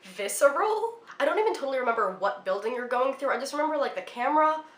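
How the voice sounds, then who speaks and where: Young female film vlogger, slightly congested, chatty with lots of fillers, bright voice, echoey room. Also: high voice, very animated, quick pace. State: congested from a cold. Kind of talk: casual film recommendations to camera.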